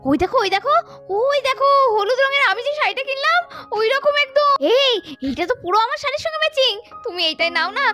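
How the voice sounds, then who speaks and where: A woman voicing excited young doll women out shopping, chattering in an exclamatory sing-song way for a doll story. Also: very high-pitched, fast, faint music behind, exaggerated voice. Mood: eager, chatty. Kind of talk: doll-story role play.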